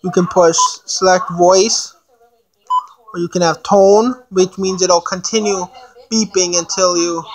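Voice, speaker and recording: Amateur female reviewer, monotone and slightly low, casual, stop-and-start delivery while reading settings aloud. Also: poor audio. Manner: halting, thinking aloud. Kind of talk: casual unboxing demonstration, reading menu items aloud.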